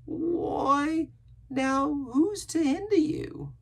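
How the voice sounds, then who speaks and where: A man's voice: extremely high pitch, extremely slow, gravelly, voice pushed up, heavily acted. A man voicing a half-crazed, sly and pious marooned sailor in a storybook, with a strained, cracking voice and rustic pirate grammar. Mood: eager, sly.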